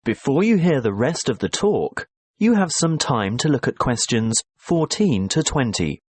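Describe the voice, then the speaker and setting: A man reading scripted listening test instructions as a narrator, in a formal, measured announcing manner. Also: mid-range voice, sing-song, a little mellow, slightly rough, no echo.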